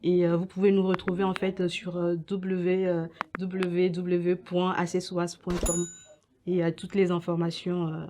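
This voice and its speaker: A young woman from Ghana and Togo giving halting interview answers as an entrepreneur, often repeating her words. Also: fairly low pitch, completely flat delivery, mellow, a little hiss or hum. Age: young adult. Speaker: entrepreneur and student being interviewed. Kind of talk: interview answers about own business.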